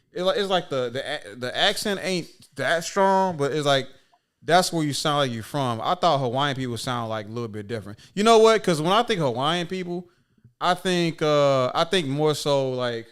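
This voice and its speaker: A man's voice: mid-range voice, lively intonation, moderate pace, clear, resonant tone. A man with a Chicago accent hosting a casual chat, asking curious questions and rambling with many restarts. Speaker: host.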